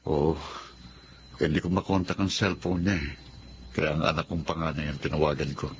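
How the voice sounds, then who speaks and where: A man's voice: a bass voice, flat delivery, normal speed. A man playing a brooding, hot-tempered betrayed Filipino husband in radio drama style. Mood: sullen, suspicious. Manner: brooding, intense. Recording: dull audio with background noise.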